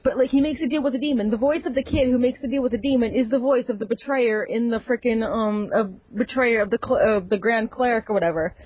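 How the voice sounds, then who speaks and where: A woman with a slightly higher voice rambles sarcastically about a game movie, piling up fillers as she goes. The recording is dry and somewhat dull, without much room sound. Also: fast talker, hardly any pauses, dry recording. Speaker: podcast co-host. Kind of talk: casual gaming chat.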